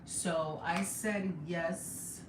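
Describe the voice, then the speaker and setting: A young woman with a Jamaican accent talks casually to her stream viewers, her voice sitting a little low. She laughs easily and sometimes jumps into high, excited exclamations. The sound is echoey, like a bare room at home, with clear background noise underneath. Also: very slow. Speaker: livestreamer and mother. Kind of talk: casual live chat.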